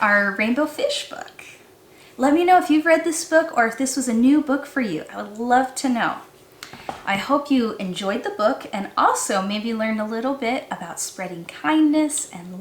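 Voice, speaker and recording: Lady teacher doing story time for little kids, super animated, slow and clear pacing, echoey room. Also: a little high. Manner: animated, addressing young listeners as friends. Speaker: teacher reading a picture book aloud. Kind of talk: expressive children's read-aloud. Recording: roomy, echoey sound.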